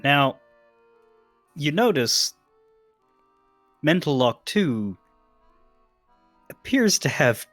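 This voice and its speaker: A man's voice: a little high, very animated, extremely slow, pauses often, fairly bright tone, no echo, faint music behind. A man giving casual game commentary, explaining things hesitantly as he thinks aloud. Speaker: stream co-host guiding a player.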